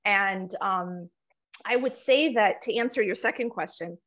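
A young woman from the United States speaks as a podcast guest at a middle pitch. She tells her story reflectively, restarting often and repeating words. The audio is a little dull. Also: a little slow, a bit bright. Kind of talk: personal storytelling in an interview. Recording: slightly muffled podcast audio.

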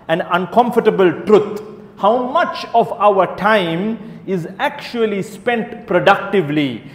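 Male preacher, fairly high voice, rhetorical and repetitive, big reverberant hall. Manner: rhetorical questions, emphatic repetition. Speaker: Islamic preacher. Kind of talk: sermon to a live crowd. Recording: echoey hall with long reverb.

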